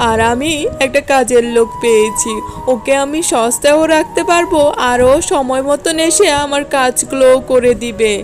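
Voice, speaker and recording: A woman's voice: high voice, moderate pace, close to an everyday voice. A woman voicing a harsh, uncaring female employer who scolds, in a cartoon story. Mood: scolding. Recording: music under the voice.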